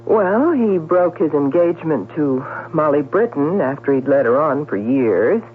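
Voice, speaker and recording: Through a thin, humming radio broadcast comes a self-possessed newspaperwoman in a 1970s drama. Her pitch moves dramatically as she talks, articulate and persuasive, a shrewd woman who chooses where every stress falls. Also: animated, measured pace. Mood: brisk, sardonic. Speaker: actor. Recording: narrowband old radio broadcast with hum.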